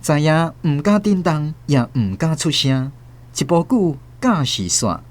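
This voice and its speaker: Animated high-pitched Taiwanese male storyteller. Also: measured pace. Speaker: narrator. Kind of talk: dramatic scripted storytelling narration.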